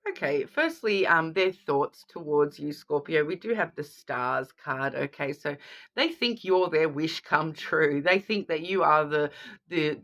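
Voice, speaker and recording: A woman's voice: low-pitched, animated, measured pace, a bit bright. A woman with a cold, sounding sniffly, giving a chatty card reading to viewers and often checking in with "okay?". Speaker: tarot card reader.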